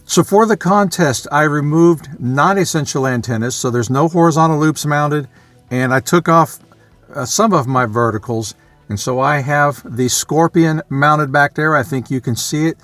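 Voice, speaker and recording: A man's voice: on the high side, normal speed, a bit bright, dry recording, soft background music. A man presenting a casual, unscripted vlog explainer, talking conversationally and thinking aloud as he goes. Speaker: amateur radio hobbyist and video presenter.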